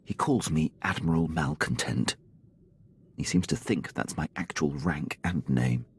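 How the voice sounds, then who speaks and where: An actor playing a dutiful, formal military officer in a video game, deferential and precise, with clipped phrasing. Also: male voice, very deep voice, unhurried, husky, exaggerated voice. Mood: dry, weary. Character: dutiful, formal male imperial military officer, loyal subordinate. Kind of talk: video game character acting.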